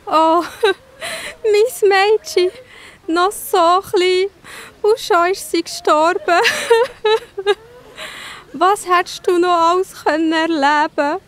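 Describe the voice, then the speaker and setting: A woman playing an emotional, tearful mother in a children's Bible audio play, with drawn-out lamenting and a Swiss German accent. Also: very high voice, heavily acted. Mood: wailing grief. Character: wailing grief-stricken mother of a young girl.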